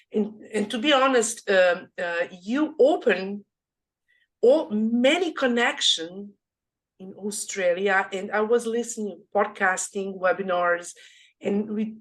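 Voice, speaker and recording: A woman from Serbia hosting a podcast in her second language, with a Serbian accent, halting with many restarts and repeated words. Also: medium pitch, fairly bright tone. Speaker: podcast host. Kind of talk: casual interview chat.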